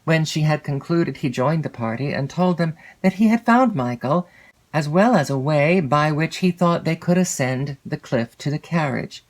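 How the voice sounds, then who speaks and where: A woman reading literary fiction as an audiobook narrator, in a measured, expressive storytelling manner. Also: deep voice. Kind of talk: scripted literary narration of a classic novel.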